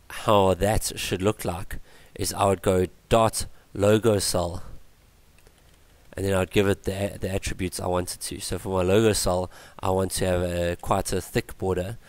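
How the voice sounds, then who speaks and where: A man's voice: sits low, fairly even intonation, a little slow, no echo. A man teaching a coding tutorial over a screencast, thinking aloud with fillers and self-corrections. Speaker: tutorial instructor.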